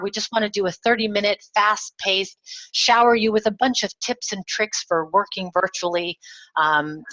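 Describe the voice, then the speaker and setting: A woman's voice: mid-range voice, a bit bright. A woman from Germany hosting a webinar over a video call, upbeat and conversational. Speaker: webinar host. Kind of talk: webinar presenting. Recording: slightly muffled video call audio.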